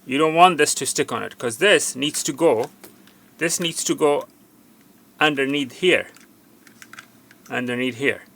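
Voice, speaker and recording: Gravelly, bright-voiced man giving repair instructions. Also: medium pitch, a little slow, pauses often. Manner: instructive, repetitive, cautioning. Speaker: tutorial host, repair technician. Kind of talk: unscripted hands-on repair demonstration.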